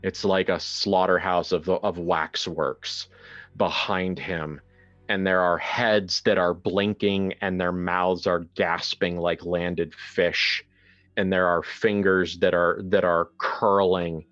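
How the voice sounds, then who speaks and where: A man improvising horror narration and casual chat in a tabletop roleplaying game, descriptive and halting as he sets a scene. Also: deep voice, little pitch movement, fairly bright tone, lo-fi sound. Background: faint music.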